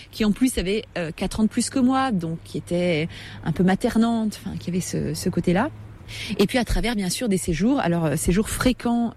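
Woman, forties, from France, writer, reflective personal account, hesitant, many pauses, warm mellow voice. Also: medium pitch, slight background noise. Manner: hesitant, frequent "euh" pauses, careful rephrasing.

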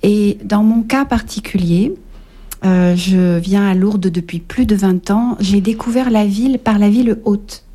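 A reflective woman author talks on a radio show, hesitating often with fillers as she gathers her thoughts. Her voice is mellow and soft-toned, at a middle pitch for a woman. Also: steady tone, unhurried. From Paris, France. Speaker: author guest. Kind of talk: radio interview. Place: radio studio.

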